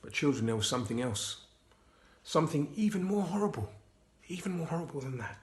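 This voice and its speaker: A man reading a Bible storybook aloud to children, expressive and often hushed. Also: on the high side, fairly quick. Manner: hushed, expressive, dropping to whispers. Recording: roomy echo.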